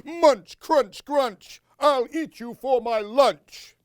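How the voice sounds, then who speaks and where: A woman's voice: sits high, drawn-out words, no echo, studio quality, pitched above the performer's own voice. A woman voicing silly pretend monsters that roar and threaten to gobble. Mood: growly. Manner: exaggerated roaring and gobbling.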